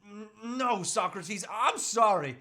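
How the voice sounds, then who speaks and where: A man's voice: high voice, expressive, slow, sharp tone, heavily acted. A man playing a troubled, self-pitying husband and father who earnestly resists temptation, with hesitant, pleading delivery in a script read. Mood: torn, apologetic. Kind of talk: live table read of a movie script.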